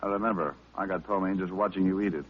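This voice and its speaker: An actor playing a wisecracking, hard-boiled private detective with a dry, sardonic delivery in old radio style. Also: male voice, low voice, fairly even intonation, fast, slight background noise, natural voice. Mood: dry sarcasm. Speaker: lead detective and narrator. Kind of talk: 1940s-50s radio detective acting. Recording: old radio broadcast, very narrow muffled band.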